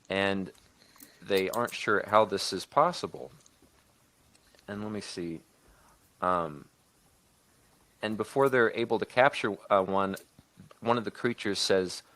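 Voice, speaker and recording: A man's voice: deep voice, fairly bright tone, no room sound. A man on a podcast talking casually through a story idea as a co-host, often backtracking and rewording as he goes. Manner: halting, often backtracking and rewording mid-sentence. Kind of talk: casual creative discussion, improvised story pitch.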